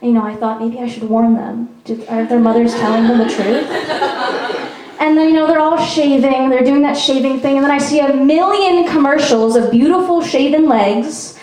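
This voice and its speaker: A woman's voice: high-pitched, fairly even intonation, moderate pace, dark tone, far from the mic. A young woman from Peru performing a stand-up comedy set in a chatty, self-mocking way, with frequent ums. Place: small performance venue. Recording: echoey venue recorded from afar.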